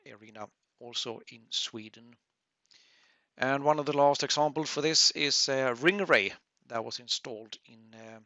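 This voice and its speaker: Male webinar instructor: very sharp, forward tone with gravelly fry, halting step-by-step explanations. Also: mid-range voice, dry recording, lo-fi sound. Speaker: audio engineering instructor. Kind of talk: webinar software tutorial.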